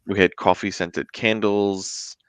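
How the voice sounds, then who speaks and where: Very deep, smooth-voiced man chatting casually. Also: flat delivery, slow, fairly bright tone, dry recording. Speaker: guest, indie tabletop game creator. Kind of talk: casual interview chat.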